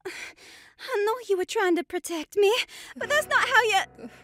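A woman's voice: very high-pitched, expressive, measured pace, fairly bright tone, heavily acted. A woman voicing a kind, wholesome young snow woman with a folksy twang, speaking earnestly in an audio drama. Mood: worried. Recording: faint music behind.

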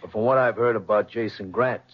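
An actor playing a plainspoken police officer in a radio drama, casual and matter-of-fact. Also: male voice, lowish voice, a little fast, breathy edge. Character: plainspoken male police officer reporting to superior. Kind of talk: 1970s radio drama acting. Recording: muffled vintage radio broadcast.

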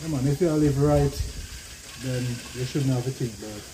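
An older man hosting a casual interview, calm and agreeable, speaking in short remarks. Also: mid-range voice, moderate pace, noisy background. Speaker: host. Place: open-air pavilion in a garden.

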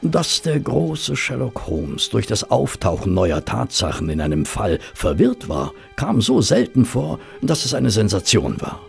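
A thoughtful detective's companion telling the story, radio drama, dramatic slow delivery, really swoopy pitch, bit of fry. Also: male voice, huge pitch swings, faint music behind, full character voice. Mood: reflective, wry. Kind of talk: German radio play acting and scripted narration.

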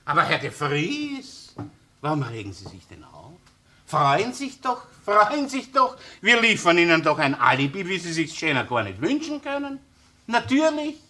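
A man's voice: a little high, huge pitch swings, normal speed, sharp tone, slight background noise, full character voice. An actor playing a wily, insistent Austrian police chief inspector in a crime drama, needling and sarcastic as he interrogates. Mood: mocking. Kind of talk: crime radio or TV drama acting.

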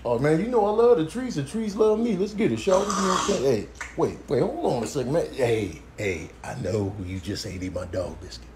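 Betrayed pet dog, voiced by a man: incredulous, slangy, swinging pitch, noisy clip sound. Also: sing-song, fairly quick, few pauses, roomy sound. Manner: incredulous, repetitive complaining. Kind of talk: comic animal voiceover.

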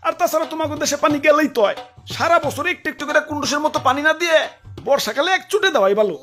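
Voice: sits high, very fast, a bit bright, soft background music. A performer voicing a smug, greedy cartoon dam keeper who talks in an exaggerated, self-righteous comic way.

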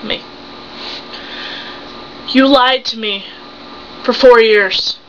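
A seventeen-year-old young woman acting a betrayed, hurt and angry wife and mother in an improvised dramatic monologue, accusing with halting, emphatic phrases. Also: on the high side, fairly even intonation, a bit bright. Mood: hurt, pleading. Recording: muffled home recording with hum.

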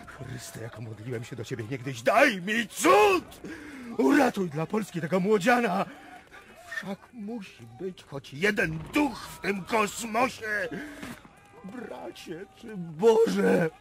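An actor playing a proud, anguished prince and wounded army commander in a radio drama, declaiming with passion. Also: male voice, high voice, very animated, slow pace, vocal fry, fairly bright tone, full character voice. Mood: desperate pleading. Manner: impassioned, declamatory. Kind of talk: theatrical radio drama acting.

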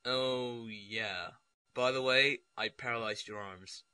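A man voicing a blasé, sarcastic ninja teacher in an anime parody, deadpan and offhand. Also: mid-range voice, takes it slowly, clear, resonant tone. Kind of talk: comedic anime parody voice acting. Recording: loud music behind the voice.

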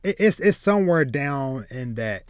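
A man in his thirties hosting a casual podcast, speaking haltingly and often repeating words. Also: mid-range voice, expressive, clear, resonant tone, no echo, studio quality. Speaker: podcast host. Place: small home room with shelves, video call. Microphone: close desk mic.

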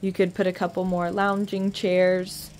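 A woman's voice: lowish voice, completely flat delivery, a little slow, a little mellow, a little hiss or hum. A woman real estate agent giving an upbeat, chatty walking tour of a house, filming it herself on a phone. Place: inside and around a house, voice-over while filming.

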